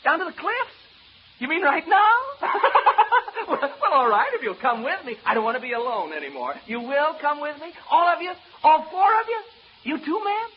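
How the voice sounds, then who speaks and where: A man's voice: very high voice, wildly swooping pitch, normal speed, heavily acted. An actor playing a terrified, unhinged man, pleading and repeating himself hysterically in a vintage radio drama. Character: terrified, unhinged man babbling and giggling about cliffs. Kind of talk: 1940s radio acting. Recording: crackly, noisy, muffled vintage radio recording.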